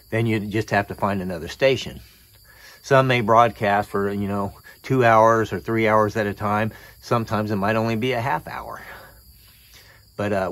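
A man's voice: fairly low pitch, fairly bright tone. A middle-aged American man giving a casual, unscripted show-and-tell outdoors in a plain-spoken, folksy way. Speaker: hobbyist presenter. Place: outdoors in a yard and on a roof. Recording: outdoor recording with insect noise.

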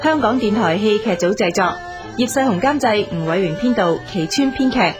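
Female radio announcer, formal scripted credits read, mid pitch, clear background music. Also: lively intonation, moderate pace.

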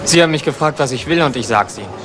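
A man's voice: mid-range voice, animated, racing, background noise, close to an everyday voice. An actor playing a stubborn, cheeky young homeless man in a German crime radio drama, contrary and evasive. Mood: stubborn, provocative. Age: young adult.

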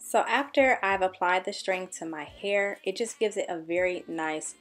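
A woman's voice: lowish voice, moderate pace, soft background music. A young woman beauty vlogger narrating a scripted tutorial voice-over in an upbeat, enthusiastic manner. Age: young adult.

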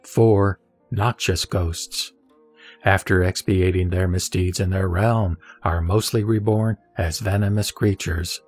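A man reading scripture from a script in a solemn, formal manner, phrase by measured phrase. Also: low-pitched, steady tone. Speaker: reciter of Buddhist scripture. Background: background music.

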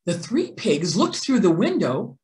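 A middle-aged woman narrates a picture book with theatrical expression. Her pitch swings widely while the pace stays slow and deliberate. The small room gives her voice a clear echo. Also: big pitch swings, mellow. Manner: theatrical, deliberate, stressed words. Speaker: children's read-aloud presenter. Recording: roomy indoor echo.

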